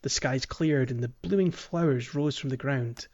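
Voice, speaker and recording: A man's voice: mid-range voice, moderate pace, darkish tone, highs cut off. A man hosting a podcast, talking casually through a video game and sometimes reading aloud. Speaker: podcast host.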